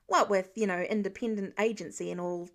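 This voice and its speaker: A woman's voice: mid-range voice, fairly even intonation, fairly quick, voice pushed down, lightly acted. A woman voicing an eager, curious teenage boy superhero, chatty and rambling, in an audiobook-style story.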